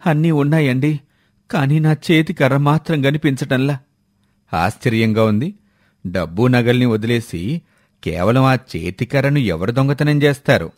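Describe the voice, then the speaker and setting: A man's voice: medium pitch, normal speed, a little mellow, no echo, clean studio sound, natural voice. A man playing an anxious, pleading villager with a plaintive manner in a moral story. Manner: plaintive, faltering when cornered. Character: anxious, pleading villager lying about his stolen walking stick. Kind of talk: acted dialogue in a moral story.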